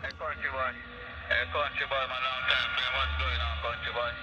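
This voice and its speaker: A man's voice: mid-range voice, flat delivery, brisk, highs cut off. A man talking casually over a CB radio as an operator, in colloquial speech full of radio sign-offs and greetings. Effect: CB radio transmission. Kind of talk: casual radio call-outs and chat. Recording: noisy CB radio reception, heavy static.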